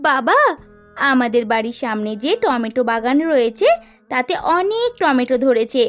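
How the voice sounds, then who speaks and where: A woman playing a sweet, devoted young farmer's daughter in an animated fairy tale. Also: high-pitched, moderate pace, faint music behind. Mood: cheerful, sweet.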